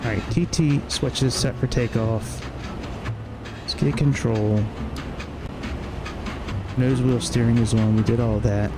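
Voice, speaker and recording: A man's voice: medium pitch, steady tone, takes it slowly, pauses often. A man streaming a flight simulator, casually thinking aloud through a checklist in short clipped phrases. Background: cockpit ambience and engine noise from flight simulator.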